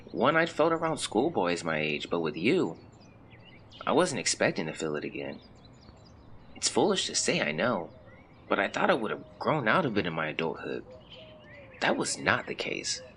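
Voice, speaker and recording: An actor playing a melancholy young man who reads out a confessional farewell letter in measured, formal words, as in an audio drama. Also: medium pitch, a bit bright, a little hiss or hum. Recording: clear birdsong and outdoor ambience behind. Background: birdsong, nature ambience.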